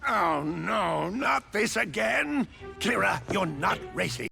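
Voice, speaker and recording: An actor playing a stubborn, protective old father in a cartoon game, scolding and flustered, with a gravelly creak. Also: sits high, big pitch swings, takes it slowly, bright, forceful tone, shouting, soft background music, full character voice. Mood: exasperated scolding.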